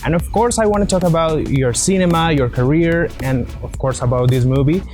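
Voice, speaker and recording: A man's voice: fairly high pitch, fairly quick, a little mellow, faint music behind. A man interviewing a guest for a podcast in a conversational style.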